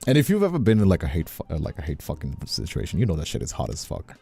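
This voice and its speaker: A man's voice: low-pitched, wildly swooping pitch, fast talker, gravelly, warm tone, slightly rough, faint music behind. A man who streams and voice acts, chatting casually and bluntly, giving opinions and swearing freely. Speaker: streamer and voice actor.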